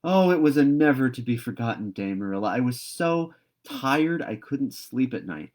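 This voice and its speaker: Man reading as an excitable, gushing young girl. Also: medium pitch, moderate pace, natural voice. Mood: wistful, excited. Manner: gushing, wordy, earnest. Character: excitable, talkative young girl, dreamy and earnest. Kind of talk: storybook read-aloud.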